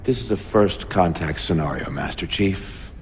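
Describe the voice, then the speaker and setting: Imperious older captain, deep, gruff, commanding, long reverb, game cinematic. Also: male voice, lively intonation, moderate pace, slight background noise. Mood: stern. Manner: commanding, brusque. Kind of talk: video game cinematic acting. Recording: long hall reverb, music underneath.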